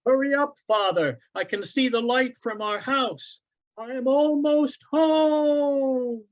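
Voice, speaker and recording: Man voicing an eager, high-pitched little boy. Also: takes it slowly. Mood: excited. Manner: bright, wondering, excitable. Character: eager, curious young boy stargazing with his father. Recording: dull, lo-fi online event audio.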